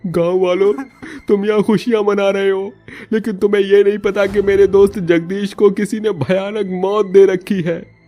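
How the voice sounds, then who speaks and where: A man's voice: high-pitched, flat delivery, soft background music, voice pushed up, lightly acted. A man voicing a grieving young village man who brings terrible news in a cartoon story. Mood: distraught. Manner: loud, mournful, slowing at the end.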